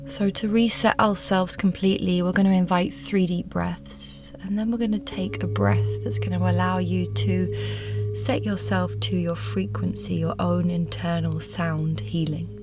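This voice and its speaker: A woman guides a meditation in a soothing, lowish voice. Her delivery is hushed and very level, often softening toward a whisper. Meditative music with singing bowls plays clearly behind her. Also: fairly even intonation, measured pace. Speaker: yoga nidra meditation guide. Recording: music bed with singing bowl tones.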